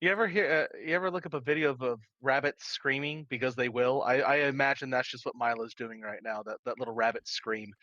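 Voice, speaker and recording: A man's voice: medium pitch, fast, hardly any pauses, pushed and bright, no echo, lo-fi sound. A man playing a tabletop game, chatting casually and jokingly in a wry, chuckly way.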